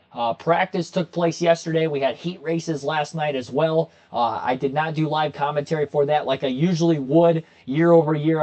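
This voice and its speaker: A young man with a slightly hoarse voice, chatting casually with viewers as he hosts a racing commentary livestream from a desk in a small room. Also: a little high, darkish tone. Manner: chatty, talks straight to his viewers.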